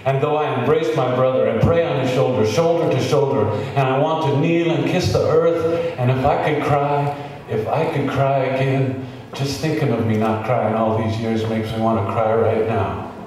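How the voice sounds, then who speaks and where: A man's voice: mid-range voice, fairly even intonation, normal speed, darkish tone. A man reading poetry aloud as a spoken-word performance, impassioned and emphatic, with incantatory repetition. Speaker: poetry reader. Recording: very echoey reverberant hall, distant mic, noisy.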